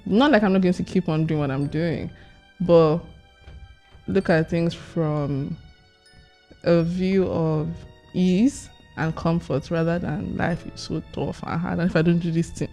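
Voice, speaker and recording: Relaxed young woman musing. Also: fairly low pitch, a little slow, faint music behind. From Nigeria. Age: 20s. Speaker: vlogger. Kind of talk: casual chat among friends.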